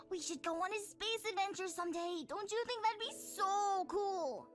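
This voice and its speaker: A woman's voice: extremely high pitch, moderate pace. A woman playing a bubbly, childlike animal girl in a video game cutscene. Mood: eager. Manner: bubbly, eager. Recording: game audio with music behind.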